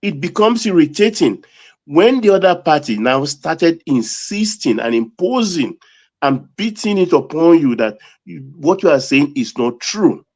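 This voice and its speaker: A man weighing in on a heated panel debate, his voice rising and falling in a lively way. He leans on key words slowly to drive the point home. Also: fairly high pitch. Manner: emphatic, stresses words slowly to make a point. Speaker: panel guest.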